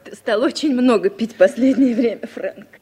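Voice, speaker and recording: An actress playing a concerned, gently reproachful woman in a Soviet radio drama. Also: a little high, normal speed, slightly rough. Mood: anxious, reproachful. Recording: 1960s radio recording, faint guitar behind.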